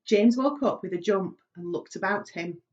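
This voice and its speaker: A woman reads a children's storybook aloud as a narrator, with expressive delivery at a middle pitch. The recording is a little dull and lo-fi. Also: moderate pace. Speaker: reader, primary school staff member.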